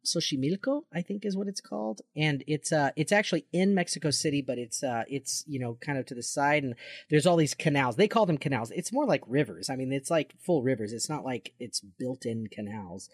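An American man in his forties chatting casually as a podcast co-host, in an excitable, jokey, sweary way. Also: mid-range voice, brisk, breathy edge, no room sound, clean studio sound. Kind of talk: casual chat.

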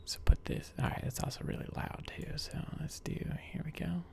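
A man chatting softly and casually on a live stream while making music, rambling with lots of fillers. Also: moderate pace, whispered. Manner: soft, low-key, rambling with many fillers.

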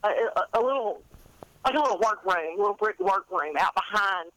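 A woman in her fifties telling stories in a chatty, animated, rambling and self-deprecating way, as an interview guest. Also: medium pitch, moderate pace, dry recording, poor audio. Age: 50s. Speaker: guest author and college English teacher.

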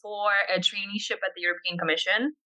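A woman's voice: medium pitch, fairly even intonation, fast talker, pushed and bright, rough recording. A young woman from Poland whose first language is Polish, answering interview questions thoughtfully with frequent fillers. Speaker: interview guest.

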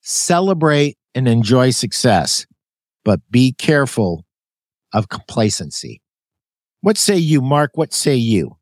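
A man's voice: mid-range voice, very animated, slow, no room sound. A Canadian man in his sixties hosting an interview podcast, reading from his own book with loose, folksy asides and self-corrections. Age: 60s. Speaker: podcast host.

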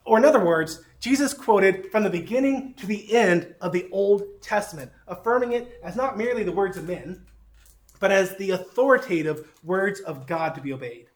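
A man's voice: high-pitched, animated, moderate pace. A man with a Southern accent teaching a sermon, folksy and self-deprecating, with frequent fillers. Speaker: pastor.

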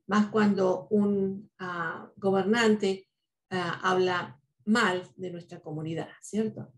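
Earnest woman, Spanish first language, mid-pitched, thoughtful podcast delivery with frequent "¿no?". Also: steady tone, slow. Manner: earnest, speaks with many "¿no?" tags and "eh" fillers. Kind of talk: reflective podcast talk.

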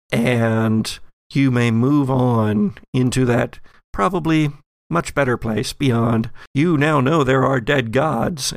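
A man runs a horror tabletop game as game master, narrating slowly and descriptively. His voice has a gravelly creak, and it is recorded close and dry. He builds suspense deliberately. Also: medium pitch, no room sound.

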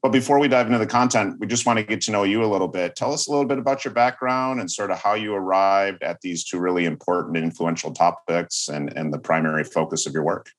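A man's voice: deep voice, fast, hardly any pauses. A man co-hosting a podcast, friendly and enthusiastic in casual chat. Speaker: podcast co-host.

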